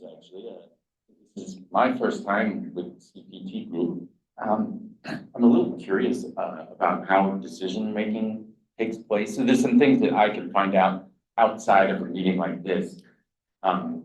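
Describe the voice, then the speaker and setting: Speak as a deep-voiced man addressing a crowd, a little unsure, through a dull, roomy pickup. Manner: self-deprecating, hesitant with fillers. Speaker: state revenue department representative. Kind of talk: meeting remarks. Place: large meeting room. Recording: echoey room, distant mic, dull sound.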